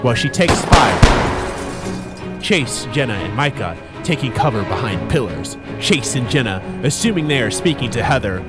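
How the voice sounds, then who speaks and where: A man's voice: mid-range voice, very animated, measured pace. A man narrating a story recap as a video creator, with casual commentary. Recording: music under the voice. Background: background music.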